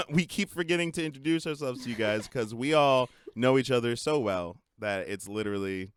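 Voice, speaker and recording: A young man co-hosting a casual podcast chat, animated and playful, laughing often. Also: medium pitch, moderate pace, clean tone. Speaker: podcast co-host.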